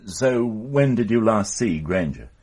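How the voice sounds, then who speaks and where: An actor playing a shrewd police inspector who asks measured, probing questions in a radio drama. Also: male voice, on the low side, animated, darkish tone.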